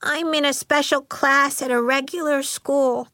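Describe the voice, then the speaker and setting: A shy schoolgirl, as a woman narrator plays her, speaks in a high, small voice. She is soft and halting, earnest and sad, often repeating a word as she tells her troubles in a storybook. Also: steady tone, no room sound, clean studio sound. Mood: shy, hesitant. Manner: soft-spoken, halting, repeats words in threes.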